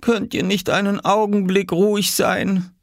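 Man voicing a weary mother, raised pitch. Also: animated, measured pace, no echo, studio quality. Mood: exasperated. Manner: weary, pleading. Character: exhausted, unwell young mother pleading for quiet. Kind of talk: children's storybook character voice.

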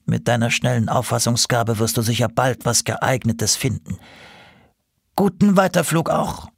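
A man's voice: medium pitch, animated, unhurried, vocal fry, no echo, studio quality, voice pushed up. A man voicing a smug, scheming male crow leader who talks in a condescending, ironic way.

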